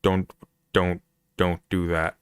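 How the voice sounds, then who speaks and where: Exasperated deep-voiced man ranting. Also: flat delivery, takes it slowly, smooth. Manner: exasperated, emphatic, repeats himself. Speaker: streamer reacting to videos. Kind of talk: casual reaction commentary.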